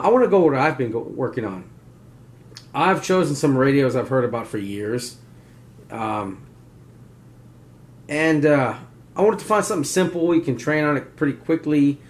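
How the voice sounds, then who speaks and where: Casual rambling man explaining things off the cuff. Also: medium pitch. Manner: rambling, folksy, often tags sentences with "right?". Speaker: survival and preparedness video host.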